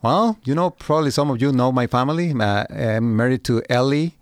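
Soft-spoken pastor, halting and dry. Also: male voice, mid-range voice, no echo. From Guatemala. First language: Spanish. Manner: halting, many "uh" pauses, short agreeing replies. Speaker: pastor of a Spanish-language service. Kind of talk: podcast interview guest.

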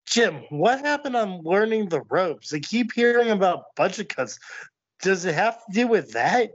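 A man's voice: high-pitched, sing-song, unhurried, exaggerated voice. A man playing a lecherous, exasperated, wisecracking wrestler in a comedic audio play. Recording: muffled, music underneath.

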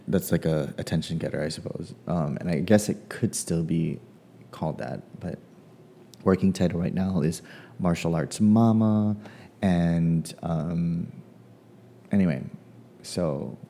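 Man, casual musing, deep mellow voice, soft guitar behind; lots of ums, unhurried. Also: a little hiss or hum. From Hawaii. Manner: hesitant, many ums and pauses. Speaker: playwright. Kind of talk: casual personal reflection.

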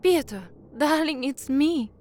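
An actress playing an eager young working-class newsreader in an audio drama, with measured, clear delivery. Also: female voice, high-pitched, expressive, darkish tone, a little hiss or hum. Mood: affectionate, relieved. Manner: composed, measured, clearly articulated. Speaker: newsreader and narrator.

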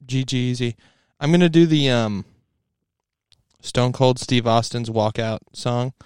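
A man's voice: medium pitch, a little slow, a little mellow, clean tone, no echo, clean studio sound. A young man hosting a podcast in a laid-back, joking way, swearing freely. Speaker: podcast host. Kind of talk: casual chat.

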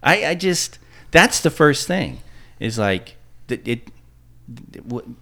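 Halting, animated male podcast co-host. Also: mid-range voice, creaky. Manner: halting, trips over words. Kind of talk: casual chat.

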